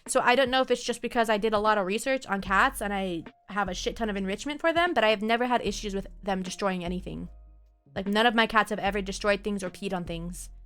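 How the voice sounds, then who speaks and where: A young woman talks to her stream audience in a loose, rambling way and laughs often. Her voice is bright and sits at an average pitch. Faint game music plays softly behind her. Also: fast talker, clean tone. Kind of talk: casual chat while reading viewer comments.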